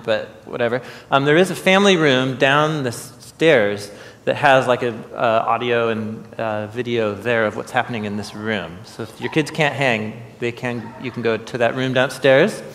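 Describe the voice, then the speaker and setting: A man giving casual live announcements from a stage as host, in an informal, halting, chatty manner. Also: medium pitch, fairly bright tone. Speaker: host and presenter. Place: theatre-style church sanctuary stage, indoors. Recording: echoey hall, stage event.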